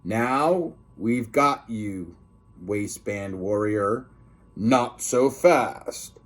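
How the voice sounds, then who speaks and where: A man's voice: fairly low pitch, drawn-out words, pitched below the performer's own voice. A man voicing gloating undead lunch ladies, taunting villains from a children's comic. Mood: menacing gloating.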